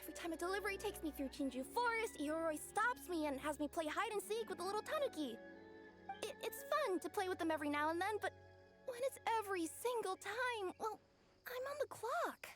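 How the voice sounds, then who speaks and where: A woman playing a young, earnest cat yokai delivery girl in an anime video game, expressive and pleading. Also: very high-pitched, a little fast. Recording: music behind the voice.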